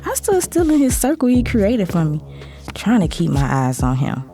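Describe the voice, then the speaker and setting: A woman's voice: medium pitch, huge pitch swings, moderate pace, warm tone. A woman playing a conflicted young woman who narrates her own story in an audio drama. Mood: uneasy. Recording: music behind the voice.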